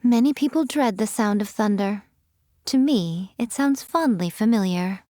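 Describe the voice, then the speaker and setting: A woman's voice: medium pitch, lively intonation, measured pace, darkish tone, no echo, studio quality, close to an everyday voice. A woman voicing a sly, ageless fox spirit shrine maiden in a game, with a teasing, languid, theatrical and self-assured manner. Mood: wistful musing. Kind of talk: scripted game character voice lines.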